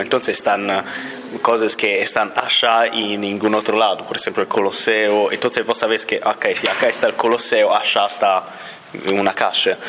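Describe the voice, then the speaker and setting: Italian-born exchange student, young male, halting delivery, slightly low pitch. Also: bright, forceful tone, slight background noise. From Italy. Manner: hesitant, searching for words with fillers. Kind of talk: interview answers.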